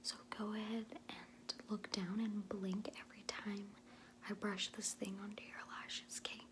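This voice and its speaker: A woman's voice: mid-range voice, flat delivery, background noise, lightly acted. A woman in her twenties playing a sassy, condescending makeup artist in a whispered ASMR roleplay. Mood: sassy, defensive. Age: 20s. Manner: sassy, condescending, hesitant valley-girl phrasing with drawn-out words. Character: sassy, condescending young female makeup artist, dismissive and defensive. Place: small indoor room at home, in front of a door.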